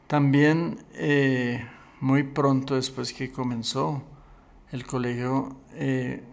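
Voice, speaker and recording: An older man speaks as a guest in a conversational interview. His voice is mid-pitched with a gravelly fry, and he goes slowly and deliberately, pausing often as he recounts things. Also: little pitch movement. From Colombia. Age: 60s or older.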